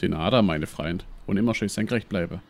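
Self-important professor played by a man, low-pitched, Swabian accent, brash and shady, for a comic detective audio drama. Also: normal speed. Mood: explaining. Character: brash, shady male history professor and treasure hunter. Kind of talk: parody detective radio play.

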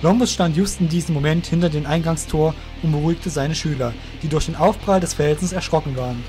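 Male narrator for fantasy audio drama, fairly high voice, soft guitar music behind. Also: a little fast.

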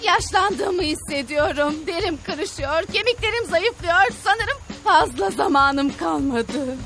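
Goofy hungry cave girl, high voice, overexcited, music behind. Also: lively intonation, takes it slowly, fairly bright tone, exaggerated voice. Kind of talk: cartoon dubbing. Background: background music.

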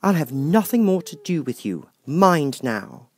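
An earnest little girl from a storybook, played by a man, speaks in a high, lilting voice. She is polite and prim, eager but anxious, and a little hesitant. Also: big pitch swings, a little slow, darkish tone, heavily acted. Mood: earnest, scolding.

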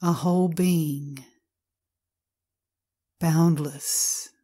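A woman leads a guided meditation, reading short phrases in a calm, measured manner with long pauses. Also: deep voice, slightly rough.

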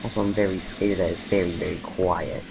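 A man's voice: sits low, flat delivery, a little fast, vocal fry, noisy background. A man casually commentating his own gameplay, exclaiming at crashes and making self-mocking asides. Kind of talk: casual let's-play commentary.

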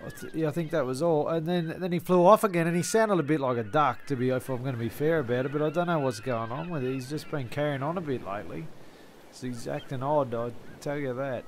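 A man's voice: fairly high pitch, quick pace, slight background noise. A man in casual comic role-play. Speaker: comedy podcast cast member.

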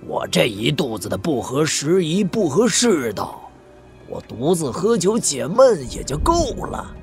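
A cynical, eccentric old sage in a wuxia audio drama. He drawls slowly in a creaky voice, swinging up and down with theatrical mockery. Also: on the high side, big pitch swings, faint music behind, heavily acted. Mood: wry. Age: older. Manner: theatrical, mocking, drawn-out.

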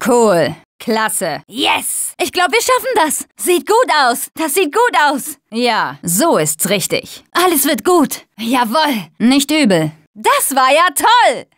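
A woman's voice: sits high, wildly swooping pitch, takes it slowly, few pauses, no room sound, studio quality, exaggerated voice. An actress playing a tough young woman survivor in a dubbed zombie video game, speaking in short, urgent callouts. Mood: relieved, upbeat.

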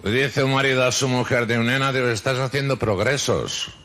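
A man's voice: mid-range voice, fairly quick, heavy background noise. A man telling jokes in a dry storytelling manner, peppering them with repeated asides. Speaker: stand-up comedian. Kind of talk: joke-telling monologue.

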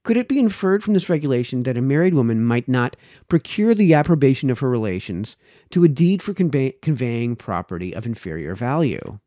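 Man in his thirties, animated pitch movement, careful essay reading, occasional stumbles, dry close-mic sound. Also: no room sound. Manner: careful, measured, stumbles over words and rereads them. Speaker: reader, host of a read-aloud series. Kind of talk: reading an old essay aloud. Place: small indoor home study. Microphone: close microphone on a boom arm.

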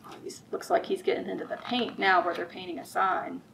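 A middle-aged woman reading aloud at a children's storytime, expressive and sing-song, drawing out rhymes and putting questions to young listeners. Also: lowish voice, a little fast, vocal fry, a little hiss or hum, poor audio. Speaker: children's librarian, storytime host. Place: library room with bookshelves, indoors.

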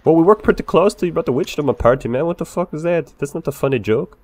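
Profane, excitable man in game roleplay. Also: on the high side, fast talker. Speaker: roleplayer playing a bar owner. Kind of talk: improvised in-character game roleplay.

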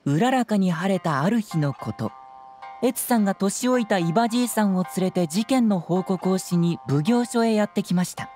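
A woman's voice: mid-range voice, lively intonation, unhurried, dark tone, faint music behind, natural voice. Casting: youthful male sleuth voiced by a woman, boyish middle register, playful theatrical narrator for a game. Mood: storytelling. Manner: polite, theatrical presenting. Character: clever, playful young male detective as stage narrator. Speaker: narrator and presenter. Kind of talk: video game voice acting.

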